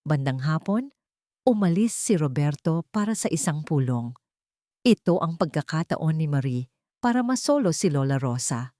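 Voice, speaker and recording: A woman's voice: deep voice, big pitch swings, normal speed, warm tone, no echo, studio quality. A woman reading scripted dramatic story narration as the narrator.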